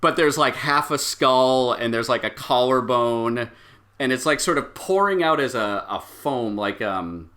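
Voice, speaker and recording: Male game master, sharp bright tone, improvised tabletop narration, halting delivery. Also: medium pitch, expressive.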